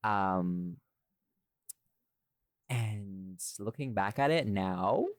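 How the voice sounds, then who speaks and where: Male podcast host, lower-pitched, dry sound, rambling casual opinions with loose profanity. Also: expressive, drawn-out words, dry recording. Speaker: podcast host and audio drama writer.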